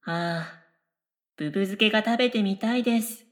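A woman playing an oddly polite, daydreaming middle-school girl in a radio comedy, musing absurdly in earnest, deadpan, polite speech. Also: medium pitch, moderate pace, lightly acted. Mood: dreamy longing. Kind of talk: scripted radio comedy dialogue.

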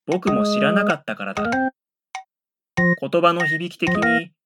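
A man's voice: a little high, animated, a little slow. A man playing a middle-school boy, the dry, exasperated straight man of a radio comedy, giving retorts and wry narration. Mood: wry narrating. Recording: loud background music.

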